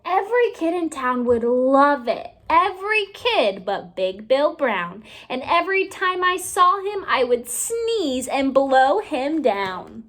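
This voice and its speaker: High-pitched, animated woman reading to children. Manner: expressive, deliberate phrasing with drawn-out words for young listeners. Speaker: teacher reading a storybook aloud. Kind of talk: children's read-aloud narration.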